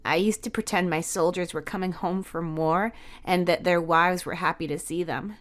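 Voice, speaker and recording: A woman voicing a gentle, caring young transgender woman with a soft, slightly awkward manner in an audio story. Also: on the low side, steady tone, normal speed, lightly acted. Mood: reflective.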